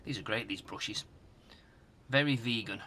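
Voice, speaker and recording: A middle-aged British man giving casual hands-on repair commentary, musing aloud in a halting, hesitant way. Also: fairly low pitch, bright, forceful tone, a little hiss or hum. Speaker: host of a retro tech repair channel. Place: home workshop loft.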